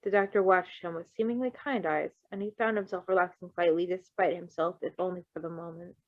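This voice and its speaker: A woman's voice: on the low side, steady tone, brisk, no room sound. Woman reading a story, dry muffled sound. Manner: playful, breaks off to riff. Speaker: reader of fan fiction. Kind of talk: narrated story reading with casual asides. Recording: dry, slightly muffled recording.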